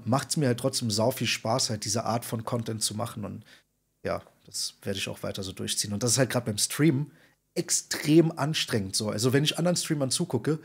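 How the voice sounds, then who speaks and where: A man in his thirties, a podcast guest, talking in an informal, slangy, emphatic way with a husky voice. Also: mid-range voice. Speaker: podcast guest, content creator and streamer. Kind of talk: casual interview chat. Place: indoor room, video call.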